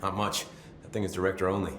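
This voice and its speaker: Low-voiced, chatty security chief. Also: male voice, level tone, fast, a bit bright, echoey room, a little hiss or hum. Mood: wry, candid. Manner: informal, rambling, wry, swearing. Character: chatty, nervy, loyal male maintenance security chief. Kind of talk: video game dialogue.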